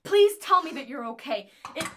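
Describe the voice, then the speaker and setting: A woman's voice: high voice, lively intonation, normal speed, noisy background. A woman playing an obsessive, lonely scientist and tinkerer in an audio drama, chatty and theatrical with a volatile intensity. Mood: frantic, pleading.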